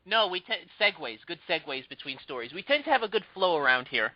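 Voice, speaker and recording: Rambling male podcast host, slightly high. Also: very animated, quick pace, no room sound. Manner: rambling, many fillers and restarts. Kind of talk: casual movie news chat. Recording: dull, dry lo-fi sound.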